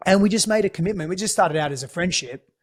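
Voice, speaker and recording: A man's voice: sits high, quick pace, husky. A man with an Australian accent whose first language is Greek, a podcast guest telling a personal story in an earnest, reflective way. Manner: reflective, earnest, frequent restarts. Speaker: guest, relationship coach. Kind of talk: conversational storytelling.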